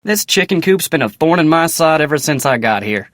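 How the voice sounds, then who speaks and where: An actor playing a polite, earnest young ranch hand in a video game, with a folksy American Western drawl and a plainspoken way of talking. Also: male voice, on the high side, expressive, brisk, a bit bright, no room sound, studio quality. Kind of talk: video game character dialogue.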